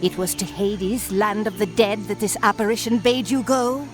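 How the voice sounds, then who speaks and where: An actress playing a haughty, ominous sorceress in a fantasy game, with an imperious, theatrical delivery. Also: female voice, medium pitch, steady tone, normal speed, over music, close to an everyday voice. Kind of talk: video game cutscene acting.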